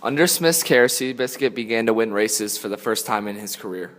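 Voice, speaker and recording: A man's voice: fairly low pitch, lively intonation, fairly quick, fairly bright tone. Male storyteller in a reverberant hall. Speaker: narrator. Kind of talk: scripted story narration.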